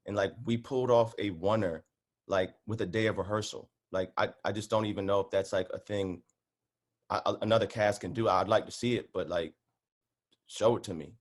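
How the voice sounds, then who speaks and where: A man's voice: fairly low pitch, fairly even intonation, fairly quick. A man chatting casually on a video call, rambling emphatically and peppering his talk with "like". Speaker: cast member on a reunion panel. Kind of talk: casual chat.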